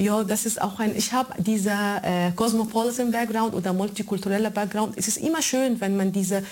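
Arabic-accented woman, halting interview speech. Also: mid-range voice, darkish tone. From born in Germany, raised in Kuwait and Egypt. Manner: halting, searching for words in non-native German. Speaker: radio show maker being interviewed. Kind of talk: conversational interview answers.

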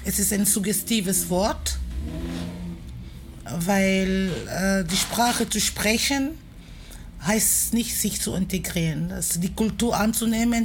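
Slow, hesitant woman from Cameroon. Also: medium pitch, a little hiss or hum. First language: a Cameroonian language. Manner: thoughtful, hesitant, many pauses and fillers. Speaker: interviewee, performer and activist. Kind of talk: recorded interview.

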